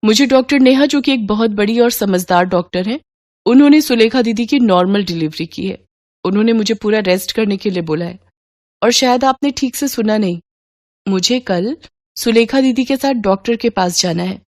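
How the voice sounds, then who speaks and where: A woman's voice: medium pitch, normal speed, a little mellow, no room sound, clean studio sound, close to an everyday voice. A woman voicing a loyal young married daughter, polite but firm, defending her in-laws in a moral story. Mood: firm, defensive.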